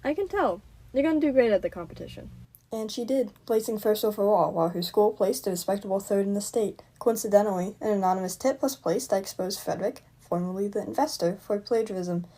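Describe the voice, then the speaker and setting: A woman plays a world-weary private eye in an old radio mystery. The voice sits at a medium pitch, wry and understated, moving between narration and dialogue. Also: a little fast, warm tone, lightly acted. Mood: reflective.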